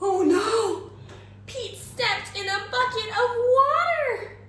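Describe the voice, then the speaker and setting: A woman teacher giving an expressive, exaggerated children's read-aloud and simple lesson, prompting listeners with questions. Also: very high voice, slow. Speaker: kindergarten teacher reading aloud. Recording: echoey room, faint background noise.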